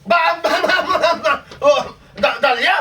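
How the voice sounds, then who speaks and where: A man's voice: very high voice, measured pace, background noise. A man acting a vain, whining husband in a comic skit, stammering and complaining with an Ilonggo Filipino accent. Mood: whining complaint. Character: vain, whining husband obsessed with his good looks. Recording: distant microphone.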